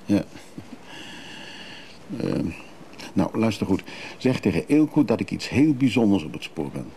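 A fussy, rambling male traveller in a radio drama. His low, creaky voice is dry and hesitant, with a faint noise on the recording. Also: big pitch swings, measured pace, mellow, full character voice. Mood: insistent. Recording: noisy radio play recording.

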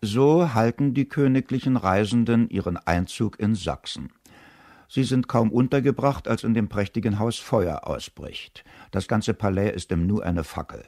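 Male narrator, scripted radio play, very low, creaky, close dry sound. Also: a little mellow, dry recording.

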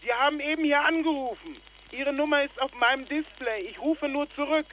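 A man's voice: very high voice, brisk, sharp tone, slight background noise. An actor playing a brusque man on the telephone in a radio drama. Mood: businesslike. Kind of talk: radio drama phone dialogue. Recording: muffled telephone line.

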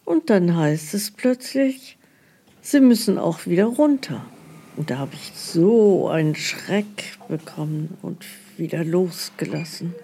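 A woman's voice: on the low side, expressive, slow pace, dark tone, slightly rough, heavily acted. A woman playing an older pensioner who plaintively recounts her troubles in a mock documentary. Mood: aggrieved, plaintive.

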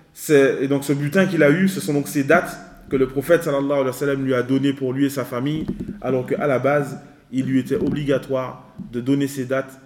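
A man teaching a religious lesson in a measured way, repeating his advice and mixing in Arabic phrases. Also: mid-range voice, a little mellow. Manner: mixes in Arabic phrases and recitation. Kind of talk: religious lesson with questions and answers. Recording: echoey room.

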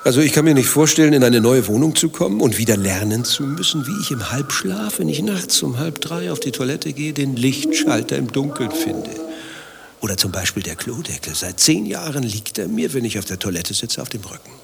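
An actor playing a vain, easily riled adulterer in a satirical German radio play, long-winded and self-justifying. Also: male voice, mid-range voice, sing-song, fairly quick, creaky. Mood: self-absorbed, confiding. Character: vain, thin-skinned adulterer waiting for his lover.

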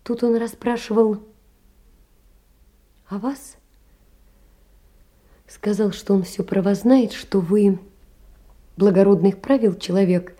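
An actress playing a poor, earnest young woman confiding in a dear friend, in a measured audio-play monologue. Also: mid-range voice, dark tone. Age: young adult.

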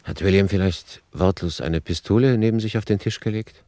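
An actor playing an author who comments on his own manuscript in a sober, deliberate, essayistic way for a radio play. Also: low voice, warm tone, dry recording, studio quality, natural voice. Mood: sober, reflective.

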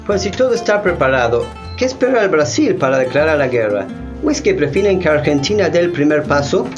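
An actor playing a haughty, scheming ambassador in a radio drama, persuasive and insinuating. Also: male voice, a little high, big pitch swings, a little fast, muffled recording, soft background music. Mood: impatient, smug. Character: scheming, haughty British ambassador pushing neighbours to war.

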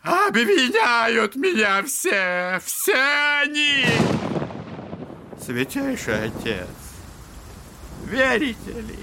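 A man's voice: extremely high pitch, wildly swooping pitch, very slow, long pauses, background noise, exaggerated voice. An actor playing a ranting, clownish, spiteful old father in an audio drama, theatrical and whining with mock piety. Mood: aggrieved, wheedling. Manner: theatrical, whining, mock-pious wailing and shouting. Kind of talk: Russian classic audio drama acting.

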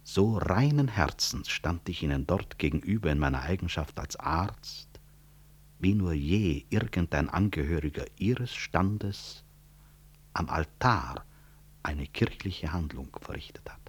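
An actor playing a proud, composed middle-aged physician and professor in a 1960s radio play, formal and measured, husky, with grave irony. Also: male voice, sits low, lively intonation, full character voice. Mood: solemn, earnest.

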